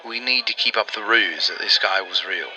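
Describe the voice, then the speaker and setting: An actor playing an earnest, persuasive Allied intelligence operative in a 1940s-style radio drama, explaining things deliberately. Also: male voice, lowish voice, sharp tone, a little hiss or hum, poor audio.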